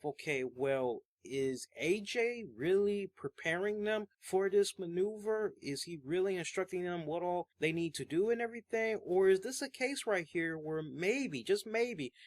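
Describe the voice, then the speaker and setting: A man's voice: fairly high pitch, a bit bright. A young man hosting a wrestling show, giving casual commentary that is chatty and hedging, with many fillers. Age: young adult. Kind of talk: casual commentary from an older episode.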